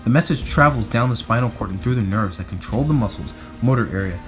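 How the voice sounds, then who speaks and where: A man reading a children's picture book aloud in an animated, expressive way. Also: fairly low pitch, quick pace, soft background music. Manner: expressive, acts out captions and speech bubbles.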